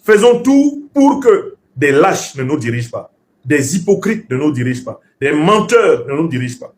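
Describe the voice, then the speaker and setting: A male political commentator, raised speaking Bassa. His voice sits a little high and swings widely in pitch. He lectures like an orator, emphatic and professorial, hammering points through repetition. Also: big pitch swings, normal speed, darkish tone.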